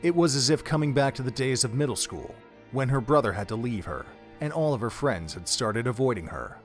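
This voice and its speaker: A man's voice: mid-range voice, animated, brisk, soft background music. Male narrator of a dramatic story.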